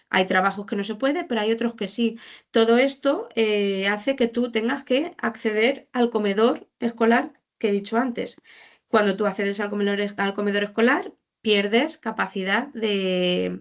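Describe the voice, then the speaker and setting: Hesitant woman presenting findings. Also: medium pitch. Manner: explanatory, hesitant, rephrasing and repeating points. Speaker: social policy presenter. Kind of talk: informal spoken presentation of report findings.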